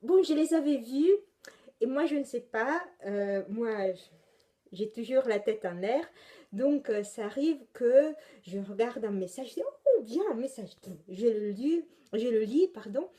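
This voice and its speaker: Italian-accented woman, unhurried pace, warm soft tone, rambling podcast chat. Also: fairly high pitch, animated. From Italy. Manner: rambling, chatty, many hesitations and self-corrections. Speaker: knitting podcast host. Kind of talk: casual chat.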